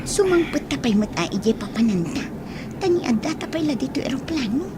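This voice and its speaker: A young newlywed wife with an Ilocano accent, complaining and flustered in radio comedy-drama style. Her high voice jumps around animatedly over clearly audible noise. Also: brisk. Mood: mildly scolding. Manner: expressive, complaining.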